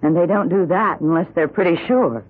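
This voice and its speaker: An actress playing a chatty, practical American housewife in a radio drama, conversational and insistent. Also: female voice, lowish voice, fairly quick, heavy background noise, close to an everyday voice. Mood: concerned. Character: chatty, practical, self-interested suburban housewife. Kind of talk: 1970s radio drama acting. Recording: muffled old radio broadcast.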